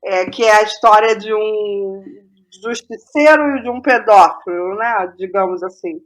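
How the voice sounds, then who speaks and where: A Brazilian woman in a casual interview as a guest playwright, speaking haltingly and repeating phrases as she thinks aloud. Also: medium pitch, rough recording.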